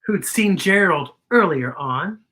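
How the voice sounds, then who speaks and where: A woman hosting children's story time, reading a picture book expressively and deliberately explaining it to young listeners. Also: fairly low pitch, expressive, fairly bright tone, breathy edge.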